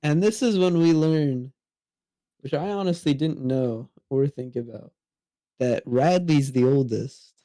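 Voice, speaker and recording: A man's voice: medium pitch, measured pace, mellow, no room sound. A teenage young man co-hosting a podcast, giving a casual recap commentary. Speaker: podcast co-host.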